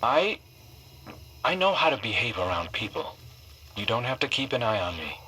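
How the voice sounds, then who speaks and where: A man playing an earnest, guarded young scout in video game radio dialogue, quick and slightly defensive. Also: mid-range voice, very animated, pushed and bright, husky, exaggerated voice. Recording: noisy radio link with ambience.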